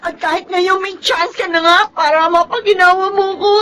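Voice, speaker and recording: Casting: nagging, materialistic young Filipina wife in serial melodrama; high, very animated voice, music underneath. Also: female voice, moderate pace. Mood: exasperated, pleading. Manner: whiny, reproachful, emotional. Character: discontented, whiny, materialistic young wife with a sharp tongue.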